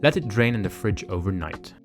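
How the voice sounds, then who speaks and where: A man giving measured, precise step-by-step narration for a home cooking tutorial. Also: on the low side, expressive, music in the background. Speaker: cooking channel host. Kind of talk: calm instructional cooking voice-over. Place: home kitchen.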